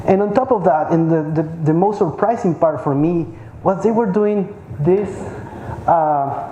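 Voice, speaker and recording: A man's voice: high-pitched, a little slow, mellow, muffled recording, slight background noise. A man, giving an informal conference talk and often repeating words as he searches for them. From Peru. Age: 30s. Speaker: conference speaker. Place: large conference hall. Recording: echoey hall with faint ambience.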